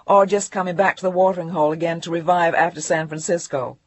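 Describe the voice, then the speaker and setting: A woman voicing a smooth, urbane male magazine editor in his late thirties who talks in breezy, clipped phrases. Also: on the low side, steady tone, fast, close to an everyday voice. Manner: breezy, clipped, calls everyone dear.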